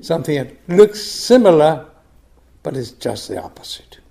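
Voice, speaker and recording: A man's voice: on the high side, big pitch swings, normal speed, vocal fry, mellow. An older man from Argentina, giving a reflective meditation talk with frequent restarts. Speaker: meditation group teacher. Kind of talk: dharma talk.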